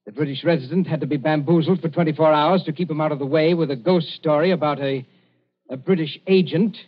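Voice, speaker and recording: An actor playing a cool-headed, dryly sardonic British governor in an old-time radio drama. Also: male voice, on the high side, quick pace, slightly rough. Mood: dry accusation. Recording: muffled vintage radio recording.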